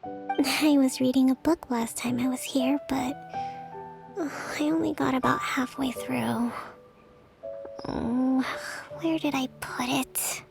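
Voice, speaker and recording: A woman playing a gentle, weary young priestess leader in a video game, breathy, polite and confiding in measured phrases. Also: high-pitched, fairly even intonation, exaggerated voice. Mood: tired, calm. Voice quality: soft, breathy. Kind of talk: video game character dialogue. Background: soft game music.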